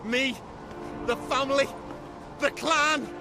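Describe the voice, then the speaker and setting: An actor playing an anguished young noble son in a fantasy game, speaking in an emotional, declamatory way. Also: male voice, very high-pitched, steady tone, takes it slowly, over music. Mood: bitter, ashamed. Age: young adult. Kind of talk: dramatic fantasy game acting. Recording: dramatic score behind dialogue.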